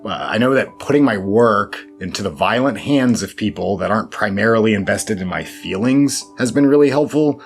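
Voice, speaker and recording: A man's voice: lowish voice, expressive, normal speed, creaky, hardly any pauses. A man giving reflective interview answers in a thoughtful, self-deprecating way with wry asides. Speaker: interview guest, horror fiction writer. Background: background music.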